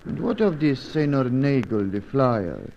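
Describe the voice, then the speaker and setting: An actor playing a deferential, wide-eyed sidekick who speaks in formal, flowery, stilted English in a radio adventure serial. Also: male voice, medium pitch, big pitch swings, a little slow, a little hiss or hum. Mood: anxious, admiring. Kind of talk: vintage-style radio adventure serial acting. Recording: muffled, narrow old radio sound.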